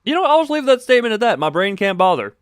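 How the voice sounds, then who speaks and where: A man's voice: high-pitched, sing-song, fast, clear, resonant tone, dry recording, clean studio sound. A man giving casual live video game commentary, thinking aloud in a rambling, self-interrupting way with playful, self-deprecating wordplay.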